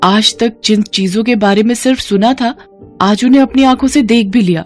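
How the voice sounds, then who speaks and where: A woman's voice: fairly high pitch, normal speed, darkish tone, faint music behind, pitched above the performer's own voice, lightly acted. Woman voicing a humble, wide-eyed poor college girl. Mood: awed.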